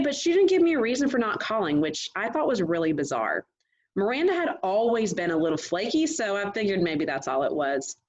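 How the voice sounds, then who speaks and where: A woman reading a novel aloud in a casual, conversational way, talking directly to her listeners. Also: medium pitch. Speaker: host reading a book aloud. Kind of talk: read-aloud of a first-person novel, conversational.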